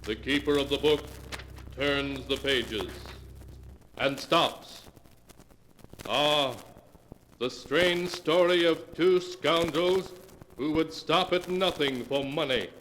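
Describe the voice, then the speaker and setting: An actor playing an ominous storyteller, narrating a horror anthology in a portentous, theatrical 1940s radio style. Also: male voice, a little high, takes it slowly, long pauses, a bit bright. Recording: noisy old 1940s radio recording.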